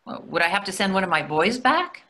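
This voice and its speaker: A middle-aged woman playing a brisk, wry wife and mother in a play reading, dry and teasing over a video call. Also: medium pitch, very animated, sharp tone. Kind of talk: readers theatre play reading. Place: indoors at home, on a video call.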